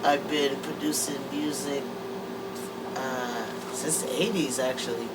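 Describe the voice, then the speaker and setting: A woman's voice: very deep voice, fairly even intonation, a little slow. Woman in her sixties, mic set back. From Trinidad and Tobago. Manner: easygoing, hesitant, many fillers. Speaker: interview guest, musician. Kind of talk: casual interview talk. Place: music production room. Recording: distant mic with air-conditioning hum.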